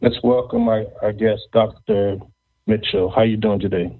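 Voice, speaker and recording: Podcast host guy, lowish voice, stumbles and repeats himself, thin phone-like sound. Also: steady tone. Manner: halting, hesitant delivery with repeated words. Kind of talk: casual interview and event announcements. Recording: thin phone-like, band-limited audio.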